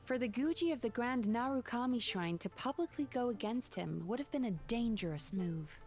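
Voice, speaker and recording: Composed, high-voiced young therapist. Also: female voice, animated, a little mellow. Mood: calm, reasoned. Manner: measured, polite, formal phrasing. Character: composed, gentle-mannered young woman therapist, quietly principled. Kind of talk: scripted video game dialogue. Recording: game music behind the voice.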